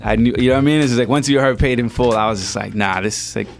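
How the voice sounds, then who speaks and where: A man's voice: mid-range voice, racing, creaky, faint music behind. A man from El Salvador, a rapper guest, telling his story in a loose, slangy, laid-back way on a radio show. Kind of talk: casual radio interview.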